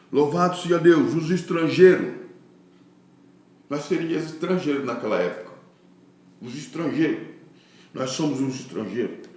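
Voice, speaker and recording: A man with a Brazilian accent preaching a Bible teaching sermon in deliberate phrases, with devout interjections of praise. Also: fairly high pitch. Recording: very echoey, reverberant room.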